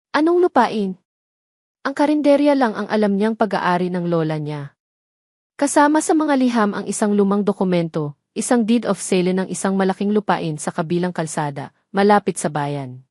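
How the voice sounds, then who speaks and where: A woman's voice: mid-range voice, expressive, moderate pace, a little mellow, dry recording, clean studio sound. A woman reading scripted, dramatic story narration as the narrator of an audio tale.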